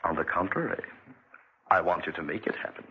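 An actor playing a cruel, obsessive, vengeful wealthy gentleman in a 1940s radio drama, with an imperious, theatrical delivery. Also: low voice, brisk, husky. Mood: cold, impatient. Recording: muffled vintage 1940s radio recording.

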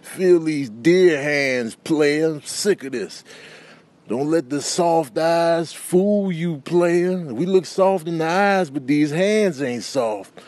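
A man's voice: fairly high pitch, takes it slowly, darkish tone, no room sound. A man voicing a fed-up, threatening deer, stretching out slangy words for emphasis in a comic animal voiceover. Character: fed-up, vengeful, tough-talking male deer fighting a hunter.

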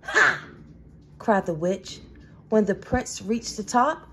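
A woman storyteller reading a children's storybook aloud with dramatic, deliberate phrasing. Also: mid-range voice, very noisy.

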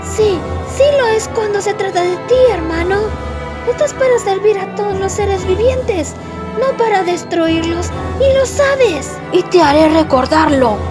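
Woman as a very high-voiced, earnest young skeleton hero. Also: expressive, measured pace, music in the background. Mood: determined. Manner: earnest, pleading, resolute. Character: gentle, heroic young male skeleton guardian, earnest, protective. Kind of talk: dramatic fan-dub comic acting in Spanish.

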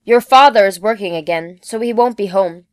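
A woman playing a brisk, practical female caretaker in an amateur online radio drama. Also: mid-range voice, smooth, no echo, lightly acted. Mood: matter-of-fact.

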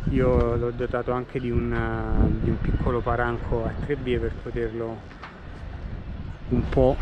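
Middle-aged guy showing off his sailboat, slow and halting, lots of ums, loud wind and harbor noise. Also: medium pitch. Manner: unhurried, hesitant, many fillers and restarts. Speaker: sailor giving a boat tour. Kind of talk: casual walkthrough vlog. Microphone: handheld action camera at arm's length.

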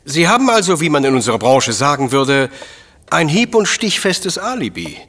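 A man's voice: fairly high pitch, big pitch swings, moderate pace, fairly bright tone. A man playing a shrewd, coolly courteous professor detective in a German radio drama, speaking precisely and formally. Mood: polite, probing.

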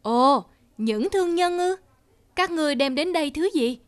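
A woman's voice: sits high, expressive, moderate pace. A woman voicing a grand, curious, easily delighted middle-aged king in a cartoon fairy tale, with pompous, exclamatory delivery. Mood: curious, eager.

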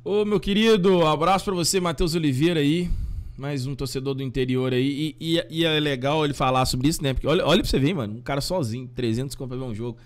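A Brazilian man in his thirties hosting a fan channel, reacting casually to listeners' voice messages in a slangy, animated way. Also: fairly high pitch, fast. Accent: Brazilian Portuguese. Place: small home studio with fan decor. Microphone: studio mic on boom arm.

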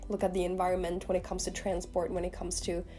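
A woman's voice: on the low side, completely flat delivery, quick pace, mellow, soft background music. Chatty young woman with a slight cold. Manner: chatty, rambling, lots of "like". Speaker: beauty vlogger. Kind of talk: casual makeup review to camera. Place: small room indoors.

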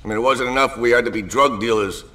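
An actor playing a wistful, philosophical outlaw biker in a video game cutscene, reflective and resigned. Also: male voice, mid-range voice, fast talker, sharp tone, lightly acted.

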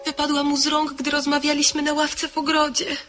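Radio drama: an emotional young woman, high and bright, quick to cry out in anger. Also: monotone, faint music behind. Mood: dreamy. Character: young woman, daughter, passionate and bitter.

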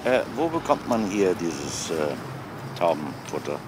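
A calm police inspector in a crime drama, with a low voice that moves up and down freely. He questions politely and patiently. The old soundtrack is clearly noisy. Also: measured pace. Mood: friendly, casual. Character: calm, courteous, probing male police inspector.

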